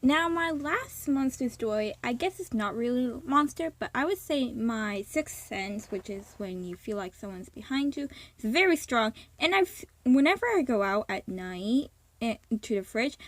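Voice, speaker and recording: A woman's voice: on the high side, dry recording. Young woman rambling through a story. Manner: rambling, self-interrupting with fillers. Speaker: YouTuber. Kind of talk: casual storytelling to camera.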